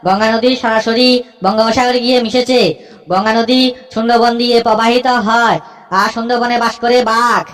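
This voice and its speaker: Woman voicing a cocky high-pitched cartoon schoolboy. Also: little pitch movement, fairly quick, natural voice. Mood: confident reciting. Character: cheeky schoolboy reciting essays with cocky confidence. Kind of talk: comic cartoon dialogue and rote recitation.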